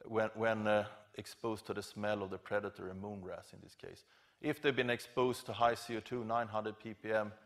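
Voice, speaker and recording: A middle-aged man giving an academic conference lecture, explaining informally and haltingly with self-corrections. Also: on the low side. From Scandinavia. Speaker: professor, keynote lecturer. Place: large lecture theatre stage at a podium.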